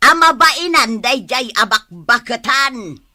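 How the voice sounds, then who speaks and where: A proud older village mother, acted by a woman in a radio comedy drama. She is stubborn and huffy, with a bright, fairly high voice that swings up and down as she nurses her grudge. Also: lively intonation, slow, no room sound, clean studio sound, exaggerated voice. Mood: stubborn, indignant.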